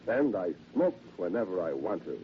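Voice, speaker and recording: Bitter sardonic widower salesman; low voice, old radio drama sound. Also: big pitch swings, moderate pace, a little hiss or hum. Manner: wry, taunting, chuckling to himself. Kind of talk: 1940s radio drama acting. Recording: muffled vintage radio transcription.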